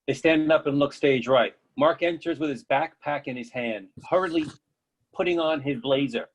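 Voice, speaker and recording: A man's voice: a little high, normal speed. A man reading stage directions as scripted narration for a play.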